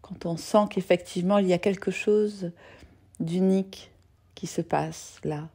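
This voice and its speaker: A woman yoga nidra teacher leading a guided meditation in a gentle, soothing, soft-spoken manner with long pauses. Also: lowish voice, steady tone, breathy edge.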